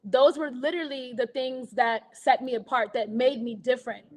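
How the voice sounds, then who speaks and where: A woman with an American accent and a high voice tells her career story in a casual interview. She is animated, laughs easily and acts out other people's voices as she goes. She sounds like a woman in her thirties. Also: moderate pace. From Kenya. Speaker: guest artist.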